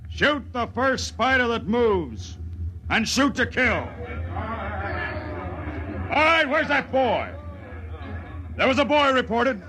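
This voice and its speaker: A man's voice: extremely high pitch, big pitch swings, slow pace, pauses often, noisy background, full character voice. A man playing a brusque, commanding patrol officer in a 1950s radio drama, barking orders. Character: gruff male patrol officer, harsh and commanding.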